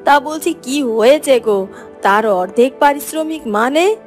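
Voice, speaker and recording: A woman voicing a poor farmer's caring, anxious wife in a cartoon fairy tale, in an expressive, coaxing manner. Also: high-pitched, unhurried, faint music behind. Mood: worried.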